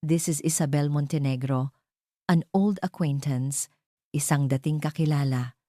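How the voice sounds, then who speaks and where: A woman's voice: sits low, steady tone, a little slow, mellow, no echo, studio quality. A woman with a Filipino accent voicing a cold, guarded male CEO and father in a story, restrained and terse.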